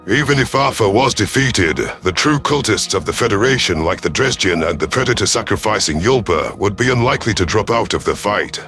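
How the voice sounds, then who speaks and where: A man's voice: lowish voice, expressive, a little fast, hardly any pauses, fairly bright tone, soft background music. A man reading a first-person science fiction story as dramatic scripted narration, in the part of a grave alien commander. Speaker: narrator of a sci-fi audio story.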